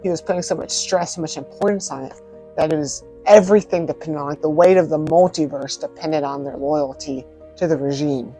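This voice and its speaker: A man's voice: a little high, a little fast, mellow, poor audio. Casual male vlogger over music. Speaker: video host reviewing films. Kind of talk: casual spoken vlog commentary.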